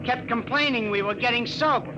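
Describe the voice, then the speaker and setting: A man's voice: very high-pitched, normal speed, background noise. An actor playing a chatty, matter-of-fact male passenger in a 1950s radio drama, folksy and breezy. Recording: vintage radio, very loud crowd.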